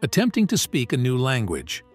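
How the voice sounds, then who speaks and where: A man's voice: mid-range voice, huge pitch swings, moderate pace. Dry-toned man with faint static. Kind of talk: comic dub acting.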